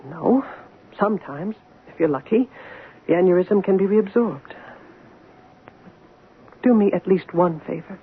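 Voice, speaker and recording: An actress playing an anxious, guilt-ridden doctor, earnest and emotional in an old-time radio drama style. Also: female voice, lowish voice, close to an everyday voice. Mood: controlled, explaining. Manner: earnest, emotional, halting when distressed. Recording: tinny, hissy old radio broadcast.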